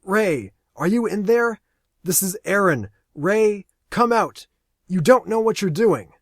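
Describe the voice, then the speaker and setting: A man voicing a desperate young man who shouts and pleads in a raised, strained voice. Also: high-pitched, expressive, slow pace, dry recording, clean studio sound, voice pushed up, full character voice. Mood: frantic.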